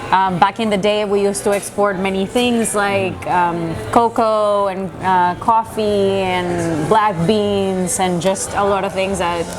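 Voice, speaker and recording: A woman's voice: mid-range voice, steady tone, takes it slowly, few pauses, music in the background. A woman in her twenties, born and raised in Venezuela, talking earnestly as an interview guest with many fillers and restarts. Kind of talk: casual interview conversation.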